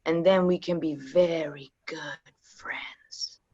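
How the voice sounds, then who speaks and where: A woman reads a cool, dangerous gang boss who runs a card table, keeping her voice low and even and dropping to soft, threatening whispers. The audio is dull and lo-fi. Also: slow. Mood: menacing. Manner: calm, quietly threatening, drops to whispers. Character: cool menacing female card-game crime boss. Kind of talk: pilot table read.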